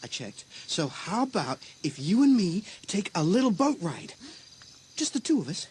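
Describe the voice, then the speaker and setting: A woman's voice: medium pitch, very animated, moderate pace, darkish tone, slight background noise, natural voice. A woman voicing a cajoling, impatient teenage boyfriend in a dramatised audiobook. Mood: coaxing. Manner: coaxing, casual.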